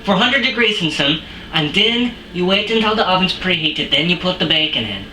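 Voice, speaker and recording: A boastful, bumbling French chef, played by a comedian rambles through a home cooking demo in a put-on French accent that keeps sliding toward Australian or British. His English is broken and peppered with crude swearing. The kitchen is roomy and echoey, and a steady noise runs behind him. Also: medium pitch, moderate pace. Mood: cocky. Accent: put-on French, drifting to Australian or British. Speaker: cooking show host. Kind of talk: comic cooking demonstration.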